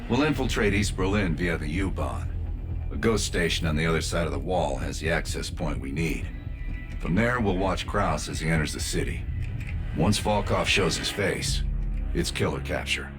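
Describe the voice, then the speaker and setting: An actor playing a stern, controlled CIA team leader in a Cold War video game, terse, deliberate and authoritative. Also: male voice, low-pitched, expressive, music in the background. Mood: cool briefing. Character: stern, controlled male CIA operative leading a covert team.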